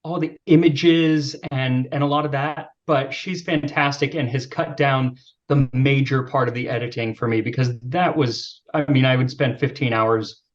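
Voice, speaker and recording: A man with a youthful-sounding voice, chatting as a podcast guest in a relaxed, self-deprecating way with many fillers. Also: medium pitch, normal speed. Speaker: podcast guest, video creator. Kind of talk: casual interview chat. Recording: slightly dull lo-fi sound.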